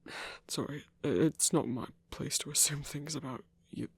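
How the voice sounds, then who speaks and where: A gentle, awkward male hunter voiced by an actor speaks with a gravelly, husky edge. He is earnest and remorseful, stumbling over his words and apologizing in an intimate ASMR roleplay. The delivery stays close and dry. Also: mid-range voice, no echo. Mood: reassuring, hesitant. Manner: hesitant, halting, apologetic, stammering.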